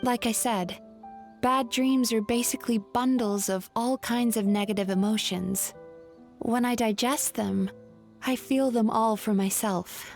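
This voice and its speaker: A composed, polite spirit woman from a video game. Her voice sits fairly high and she speaks in a thoughtful, measured way, with faint music behind her. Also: fairly even intonation. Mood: wistful, explaining. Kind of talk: video game character acting.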